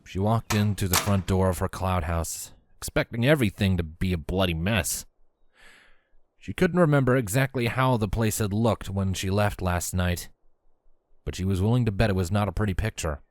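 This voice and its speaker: A man's voice: deep voice, normal speed, dry recording, clean studio sound. A man reading scripted story narration as the narrator of a fan fiction tale.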